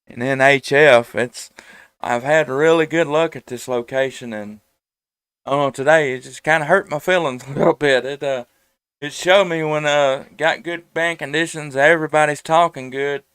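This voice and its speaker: Male, 30s, slightly higher-pitched, smooth tone, easygoing rambling radio hobbyist, dry headset capture. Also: steady tone, normal speed, a bit bright, dry recording. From United States. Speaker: amateur radio operator and live stream host. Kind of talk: casual ham radio chat. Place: at home indoors. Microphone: headset microphone.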